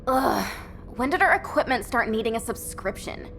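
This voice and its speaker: An actress playing a sarcastic, exasperated young squad member in a video game, casual and griping. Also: female voice, fairly high pitch, lively intonation, moderate pace, gravelly, over music. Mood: exasperated, wry.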